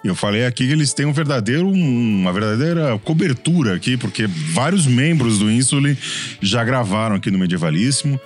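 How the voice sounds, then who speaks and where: A male podcast host with a São Paulo accent talks in a friendly, chatty way. His voice sits at an ordinary middle pitch, and his sentences often restart. Soft music plays faintly behind him. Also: animated, fairly quick. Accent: São Paulo Portuguese. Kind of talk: casual podcast chat.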